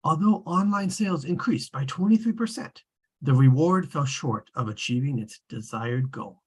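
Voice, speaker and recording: A man's voice: fairly high pitch, normal speed, breathy edge. A man reading scripted, storybook-style narration at a table read.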